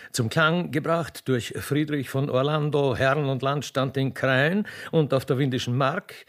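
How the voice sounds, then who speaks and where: A man narrating a radio play in a theatrical, mock-solemn and ceremonious way. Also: on the high side.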